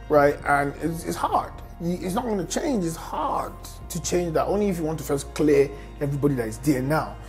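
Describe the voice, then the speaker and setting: A man's voice: on the high side, gravelly. A man answering interview questions casually and expressively. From Nigeria. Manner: laughing, expressive, many fillers. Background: faint music.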